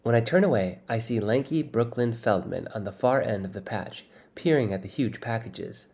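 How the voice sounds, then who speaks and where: A man reading a first-person children's novel aloud as expressive, scripted narration. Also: medium pitch, normal speed. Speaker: audiobook reader.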